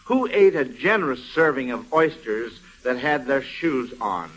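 A man's voice: mid-range voice, animated, unhurried. A man hosting a 1940s children's radio quiz in an encouraging, folksy manner. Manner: encouraging, folksy, repeats approving murmurs. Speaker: quizmaster of children's quiz show. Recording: vintage radio, noisy with audience.

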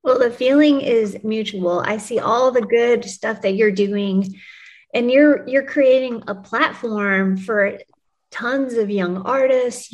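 Woman guest from New Mexico; easygoing, chatty delivery full of ums and laughs. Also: a little high. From New Mexico, USA. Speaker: podcast guest, music publicist. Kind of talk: casual conversational interview.

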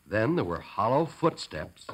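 A man's voice: on the low side, big pitch swings, unhurried, slight background noise, poor audio. A man announcing from a script in polished, dramatic 1940s radio style.

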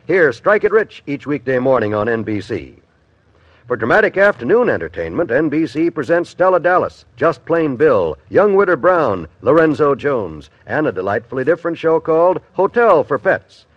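A man's voice: fairly high pitch, very animated, normal speed, no room sound. A man with an American accent doing polished, upbeat scripted announcing in 1950s radio style. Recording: dull vintage radio recording.

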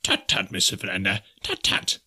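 A man's voice: mid-range voice, huge pitch swings, fairly quick, pushed and bright, pitched above the performer's own voice, exaggerated voice. A man voicing a pompous, absent-minded old professor in an audiobook story, fussy and pedantic, repeating himself. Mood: brisk, scolding. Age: older.

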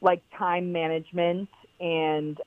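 A woman's voice: lowish voice, flat delivery, slow pace, no room sound. A young woman with a powerful voice, chatting casually as a podcast guest with lots of "like" fillers. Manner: chatty, many "like" fillers, emphatic. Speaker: guest, founder of a clothing brand. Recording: tinny phone-like line, dry.

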